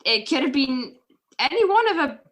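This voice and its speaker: A woman's voice: high voice, very animated, normal speed, a bit bright, poor audio. A woman playing a detective's spirited wife, earnest and insistent as she defends him in an old-style radio mystery. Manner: earnest, insistent, indignant pleading. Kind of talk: 1940s detective radio play acting. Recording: video call recording.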